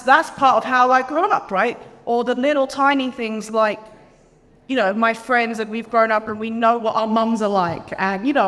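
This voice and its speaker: A woman's voice: on the high side, a bit bright. A woman panelist talking casually and earnestly, with many "like" and "you know" fillers. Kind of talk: panel discussion answer. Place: conference hall. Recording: long hall reverb.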